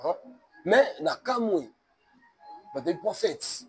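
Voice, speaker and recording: Congolese pastor, high voice, emphatic sermon cadence. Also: male voice, big pitch swings, slow, creaky, faint music behind. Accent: Congolese French. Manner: emphatic, mixes French and Lingala, sermon cadence. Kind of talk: preaching-toned interview talk.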